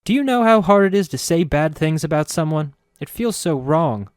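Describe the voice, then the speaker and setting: A man's voice: on the high side, lively intonation, normal speed, a little mellow, smooth, dry recording, clean studio sound, pitched above the performer's own voice, lightly acted. A man voicing a perky, sweet-natured young woman who is eager to please and hates being mean. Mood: fretful. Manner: bubbly, breathless and eager to please.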